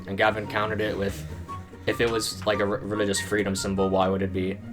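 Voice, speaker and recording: A man's voice: fairly low pitch, completely flat delivery, brisk. A young man in casual group chat, explaining the facts with stops, restarts and fillers. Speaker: panel member. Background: music.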